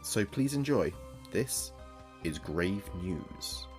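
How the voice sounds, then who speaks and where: A man's voice: sits low, sing-song, slow pace. A man introducing his own podcast in a scripted style, his voice affected by a lingering illness. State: recovering from a cold-like illness. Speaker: podcast host and writer. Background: music behind the voice.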